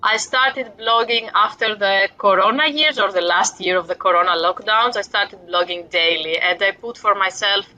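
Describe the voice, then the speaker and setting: A woman's voice: mid-range voice, normal speed, few pauses, bright, forceful tone, muffled recording. A woman in her forties from Greece with a Greek accent, a guest author talking reflectively about parenting, with light self-deprecating humor. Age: 40s. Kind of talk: casual interview talk.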